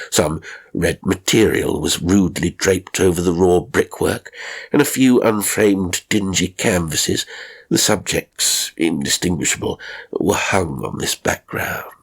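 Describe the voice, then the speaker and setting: A man narrating a first-person strange story from a script, with dramatic, expressive delivery and deliberate pauses. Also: deep voice, husky. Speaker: audiobook narrator of strange stories.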